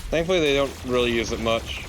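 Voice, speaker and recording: A man's voice: mid-range voice, quick pace. A man chatting casually as co-commentator on a video game playthrough. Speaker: co-commentator on a let's play. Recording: noticeable background noise, game sounds.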